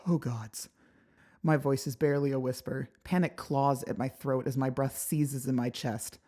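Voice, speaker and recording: A woman narrating first-person fiction as a dramatic, expressive audiobook reader. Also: very deep voice, moderate pace. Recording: background music behind the voice.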